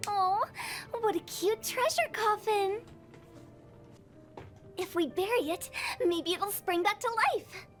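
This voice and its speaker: Game casting: cheeky young girl with a morbid streak, very high voice, music behind. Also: takes it slowly. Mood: gleeful, playful. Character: playful, spooky-cheerful young girl who delights in coffins. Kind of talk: video game character voice lines.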